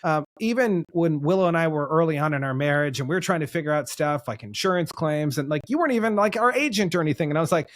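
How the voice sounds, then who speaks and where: A man's voice: on the high side, expressive, fast talker, few pauses. A young man hosting an interview, gushing with praise for his guest and joking at his own expense. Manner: effusive, self-deprecating, many restarts and "like". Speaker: podcast host. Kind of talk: enthusiastic interview chat.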